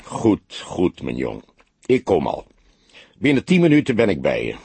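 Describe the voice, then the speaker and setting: A man's voice: sits low, huge pitch swings, measured pace, creaky, darkish tone, slightly rough, dry recording, full character voice. A man voicing a grumpy, weary older male detective in an audiobook, a veteran mentor who grumbles and speaks curtly. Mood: gruff, resigned.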